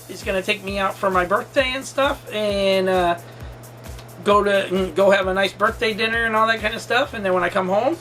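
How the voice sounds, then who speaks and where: Male, 40s, casual storytime vlogger. Bright, forceful delivery, rambling and repetitive, faint music underneath. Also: high-pitched. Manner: rambling, emphatic, repeats himself.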